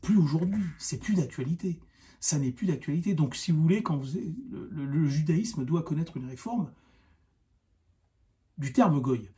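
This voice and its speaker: High-pitched, mellow-voiced insistent Frenchman. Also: expressive. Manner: insistent, argumentative, repeats himself, halting with rhetorical questions. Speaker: online video commentator. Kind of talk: unscripted opinion monologue to camera.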